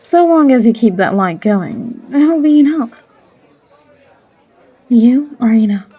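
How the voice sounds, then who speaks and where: A woman playing a warm, teasing barkeep and fortune teller in a character audio roleplay, coaxing and unhurried as she calls the listener love. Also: on the high side, lively intonation, slightly rough, muffled recording, a faint crowd, exaggerated voice. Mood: gentle, encouraging.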